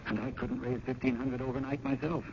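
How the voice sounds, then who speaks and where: An actor playing an earnest, conscience-stricken museum curator in a 1970s radio drama, speaking formally and with emphasis. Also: male voice, mid-range voice, little pitch movement, a little fast, lightly acted. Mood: contrite. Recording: muffled old radio broadcast, clear hiss.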